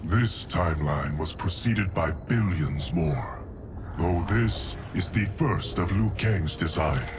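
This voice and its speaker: An actor playing a grave male ally who recounts cosmic history in a video game cutscene, speaking slowly and deliberately. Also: sits low, animated, music in the background, exaggerated voice. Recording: loud orchestral score underneath.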